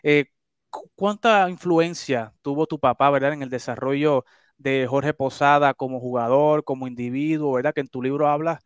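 A man's voice: medium pitch, moderate pace, clean tone, dry recording, studio quality. A man hosting an interview, asking long questions with frequent tag questions. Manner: chatty, long questions with repeated "¿verdad?" tags. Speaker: interviewer, host.

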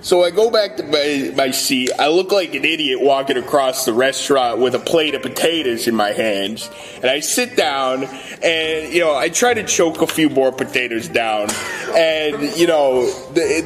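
A man playing an indignant Italian-American Bronx guy, telling his tale haltingly and repetitively in a radio comedy sketch. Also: fairly high pitch, a bit bright, faint music behind. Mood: exasperated.

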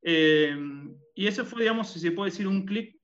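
Rioplatense male guest, bright, slightly high voice, halting, lots of fillers, dull lo-fi sound. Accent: Rioplatense Spanish. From Argentina and Uruguay. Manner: hesitant, many fillers and restarts. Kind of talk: conversational interview.

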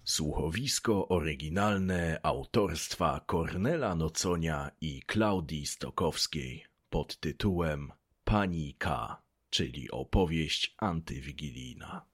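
A man's voice: a bass voice, lively intonation, takes it slowly, a bit bright, breathy edge. A man reading a scripted title announcement as the announcer of an audio drama.

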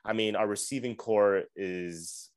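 A man's voice: on the low side, steady tone, measured pace. A man co-hosting a casual sports podcast, easygoing, hedging his takes and laughing often. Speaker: podcast co-host.

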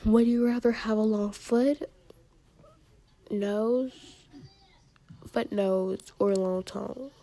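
A teenage girl with a high-pitched, mellow voice, chatting casually in a vlog. She takes her time and rambles a little. Her asides fade into soft near-whispers. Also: fairly even intonation, takes it slowly, pauses often. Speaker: vlogger. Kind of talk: casual chat, reading out questions and answering them.

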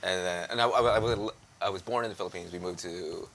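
A man's voice: sits low, quick pace, a bit bright, slight background noise. A male stand-up comedian from New Jersey, chatting casually and telling personal anecdotes. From United States, New Jersey. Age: 30s or older.

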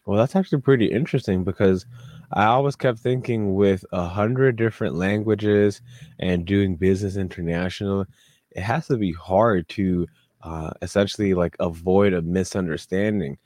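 A man's voice: low-pitched, moderate pace, a little mellow, no echo, studio quality. A man co-hosting a podcast, interviewing a guest in an upbeat, encouraging way. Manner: upbeat, encouraging, frequent backchannel. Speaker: podcast co-host.

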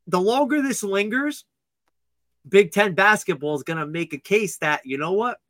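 A man's voice: on the high side, pushed and bright, no room sound. A man co-hosting a sports podcast, chatting casually in an upbeat, joking way. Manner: upbeat, joking, quick asides. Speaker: podcast co-host.

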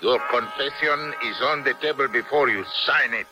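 An actor playing a menacing secret police interrogator in a 1970s radio drama, formal and commanding. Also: male voice, mid-range voice, normal speed, music in the background, close to an everyday voice. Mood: cold, menacing. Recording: vintage radio drama recording.

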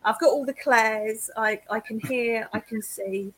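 A woman from Kent with a southern English accent, chatting as a guest clairvoyant on a video call, bubbly and quick to laugh. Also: fairly high pitch, steady tone, a bit bright, poor audio. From England (Kent). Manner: giggly, bubbly, laughs often. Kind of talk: casual podcast chat.

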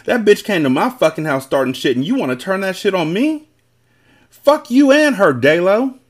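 A man's voice: mid-range voice, expressive, normal speed, close to an everyday voice. A man voicing a furious, foul-mouthed, combative young woman in a story reading. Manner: combative, indignant, shouting profanities.

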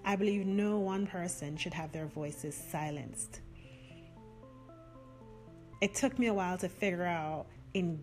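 A woman's voice: lowish voice, darkish tone. A woman hosting her own podcast, talking alone in an earnest, heartfelt way and affirming what she believes. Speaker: podcast host. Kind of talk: solo reflective podcast talk.

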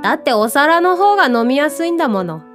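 A woman voicing a friendly, contented talking cat who runs a drink stall in an audio drama, speaking gently and politely with a playful touch. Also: sits high, big pitch swings, fast, over music. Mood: cheerful. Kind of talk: Japanese audio drama acting.

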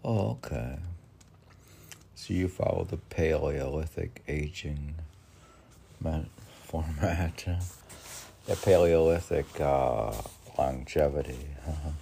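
An older man reading poetry informally, with halting, musing asides in which he asks and answers himself. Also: extremely low pitch, creaky, mellow, a little hiss or hum. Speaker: poetry reader and podcast host.

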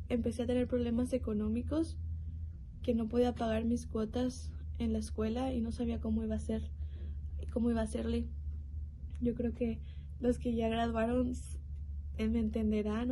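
Young woman, earnest faith testimony, higher mellow voice, faint hiss behind. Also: little pitch movement, rough recording. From Mexico. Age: young adult. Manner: earnest, reflective, with pauses and restarts. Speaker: youth program speaker. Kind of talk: personal testimony.

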